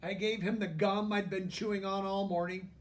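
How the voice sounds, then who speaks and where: A man's voice: high voice, level tone, moderate pace, recorded from a distance. A man reads a children's picture book aloud expressively, explaining things simply for young listeners. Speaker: storybook reader.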